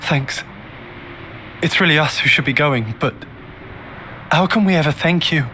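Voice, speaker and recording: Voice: mid-range voice, measured pace, pushed and bright, slight background noise. An actor playing a shaken, wary young soldier in a video game cutscene. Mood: grateful. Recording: music behind the voice.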